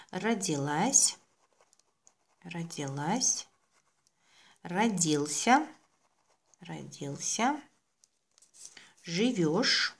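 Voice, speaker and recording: A woman teacher with an Azerbaijani accent, giving a lesson to children and repeating words slowly while she writes at a desk. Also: fairly low pitch, gravelly. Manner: switches between two languages, repeats words slowly while writing. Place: indoors at a desk, camera over a workbook.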